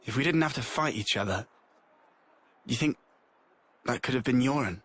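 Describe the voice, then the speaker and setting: An actor playing a brooding, regretful young soldier in a game, with a husky voice. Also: male voice, mid-range voice, normal speed, a bit bright, lightly acted. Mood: wistful.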